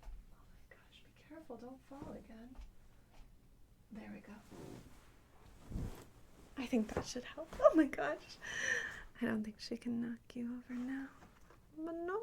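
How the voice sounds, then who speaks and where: A soft-spoken woman chatting casually in an ASMR style, often dropping into whispers, playful and doting toward the listener. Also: fairly high pitch, unhurried, creaky, pauses often, a little hiss or hum. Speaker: ASMR creator talking to viewers. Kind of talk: casual rambling ASMR chat.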